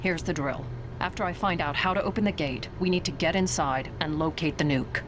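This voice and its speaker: Actress doing tough bossy lady agent in a game, curt orders, lower voice, noisy audio. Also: brisk, lightly acted. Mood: focused. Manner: curt, commanding. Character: brisk, confident woman agent, tough and bossy. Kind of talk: video game cutscene acting.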